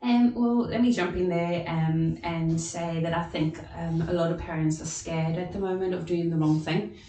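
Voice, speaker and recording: Low-voiced South African woman, echoey room. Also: steady tone, dark tone. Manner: thoughtful, many "um" pauses. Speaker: social worker. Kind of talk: podcast panel discussion. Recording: echoey room with faint rumble.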